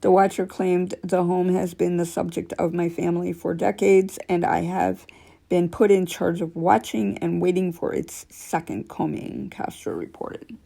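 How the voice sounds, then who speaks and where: A woman's voice: on the low side, flat delivery, a little slow, gravelly, mellow. A woman with a scratchy voice reading creepy true stories aloud, stumbling now and then and correcting herself, with casual remarks between stories.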